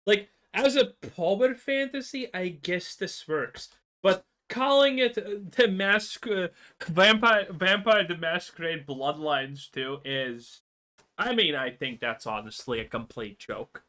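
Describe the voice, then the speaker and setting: A man's voice: sits high, takes it slowly, bright, forceful tone. A man giving blunt, scornful reaction commentary on a video, ranting casually.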